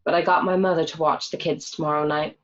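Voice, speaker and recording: A woman's voice: low-pitched, fairly even intonation, fast, muffled recording, lightly acted. An actress playing a candid, matter-of-fact gangster's wife who narrates her life in an audio drama, recorded at home. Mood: plain. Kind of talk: scripted first-person narration in an audio drama.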